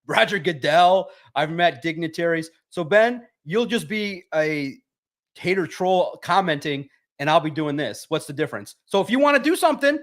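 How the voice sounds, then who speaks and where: A man's voice: fairly high pitch, fairly bright tone. A man who talks in a somewhat raised register. He co-hosts a sports podcast, driving each point home through repetition as he talks up his own work. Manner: emphatic, self-promoting, repeats himself for emphasis. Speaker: sports writer and podcast co-host. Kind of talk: animated podcast talk.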